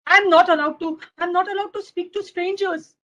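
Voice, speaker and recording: A stubborn little storybook boy, played by a woman, with a very high, whiny voice. He exclaims and repeats himself with an excitable temper. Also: moderate pace, lo-fi sound. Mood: scared, defiant.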